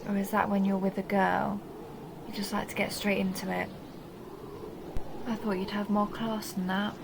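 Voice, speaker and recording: Woman acting a creaky-voiced seductive vampire countess. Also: medium pitch, level tone, normal speed, noisy background, natural voice. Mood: seductive, taunting. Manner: teasing, mocking, intimate purring address. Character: seductive, possessive vampire countess, teasing and condescending. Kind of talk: dramatic roleplay audio monologue.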